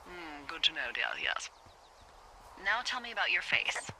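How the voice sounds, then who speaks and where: Over a walkie-talkie with clear noise behind it comes a middle-aged American woman who supervises fire lookouts. She is playfully sardonic and conversational, teasing in an easy, natural video game style. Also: on the low side, big pitch swings, moderate pace, bright, forceful tone, heavily acted, poor audio. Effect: walkie-talkie radio. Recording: radio sound with clear background noise.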